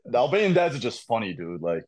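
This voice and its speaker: A young man, a podcast guest and content creator, telling animated stories in casual chat over a video call. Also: mid-range voice, quick pace. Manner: animated storytelling, laughs often.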